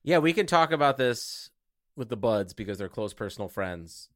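A man's voice: mid-range voice, animated, moderate pace, a bit bright, no room sound, studio quality. A man co-hosting a podcast with casual, joking, sweary banter. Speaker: podcast co-host.

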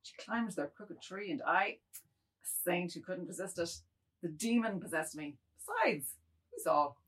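Woman reading as husky, apologetic middle-aged man. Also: lowish voice, sing-song, a little slow, full character voice. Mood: wistful confessing. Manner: theatrical, broken musing phrases. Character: middle-aged man, apologetic, self-justifying, wistfully reminiscing. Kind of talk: dramatic table reading of a modernist novel.

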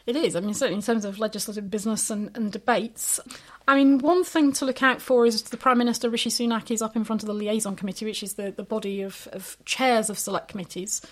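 A woman co-hosting a political podcast, explaining events briskly with a few fillers. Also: medium pitch, fairly even intonation. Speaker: podcast co-host. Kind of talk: casual political chat.

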